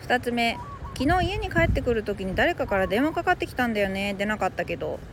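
A woman's voice: fairly high pitch, sing-song, brisk, a little hiss or hum. A woman who is a native Japanese speaker, hosting a language lesson in a conversational, explanatory way that speaks straight to listeners. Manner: explanatory, addresses listeners directly. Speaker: host of an English phrase lesson podcast.

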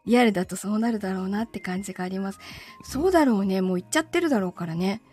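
A woman's voice: mid-range voice, racing, mellow, breathy edge. A woman chatting casually and giggling often, as a live voice drama performer talking after a show. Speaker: amateur-style live voice drama performer and host.

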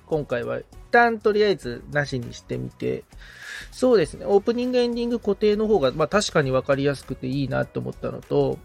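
Male podcast sidekick: slightly high, gravelly voice, informal, thinks aloud, music faintly behind. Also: very animated, fast, darkish tone. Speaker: podcast assistant, guitarist receiving advice. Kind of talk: casual chat.

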